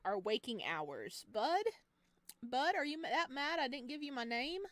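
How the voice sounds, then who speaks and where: A woman reading a visual novel aloud as a let's player, expressive, with casual chatty reactions. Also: high-pitched, a little slow, no room sound. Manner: expressive, exclaims and chats between read passages. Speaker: video game let's player.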